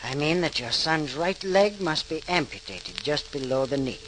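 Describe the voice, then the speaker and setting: An actor playing a grave, urgent doctor speaking deliberately in an old-time radio drama, on a noisy vintage recording. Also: male voice, on the high side, lively intonation, natural voice.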